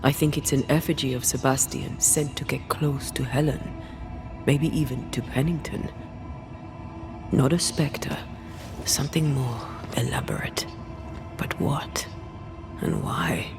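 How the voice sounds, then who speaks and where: Creaky, solemn female investigator. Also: very deep voice, measured pace, long pauses, music in the background, full character voice. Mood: pensive. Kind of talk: video game drama acting.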